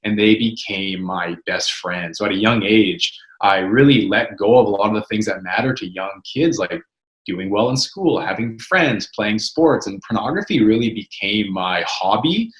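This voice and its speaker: A man's voice: fairly low pitch, moderate pace, roomy sound, rough recording. A Canadian man in his twenties from Canada, an interview guest earnestly telling his personal story in a frank, conversational way. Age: 20s. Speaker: ministry co-founder, guest.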